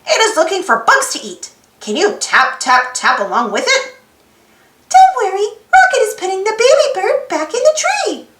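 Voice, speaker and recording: A woman reading a children's storybook aloud in an expressive, sing-song way, putting simple questions to young listeners. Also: extremely high pitch, normal speed, reverberant. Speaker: storybook reader. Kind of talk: children's read-aloud narration.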